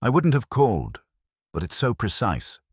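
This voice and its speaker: A man's voice: mid-range voice, huge pitch swings, normal speed, slightly rough, no room sound, clean studio sound, pitched above the performer's own voice. A man voicing an anxious, ceremonious, apologetic man from a vicarage in an audio drama.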